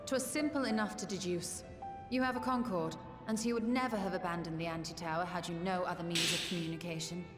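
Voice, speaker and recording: Composed game sage, female actor: knowing, formal, lowish voice, echoey. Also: fairly even intonation, fast talker, natural voice. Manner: archaic, crisp, knowing phrasing. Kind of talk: scripted video game voice acting. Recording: echoing game audio with loud music.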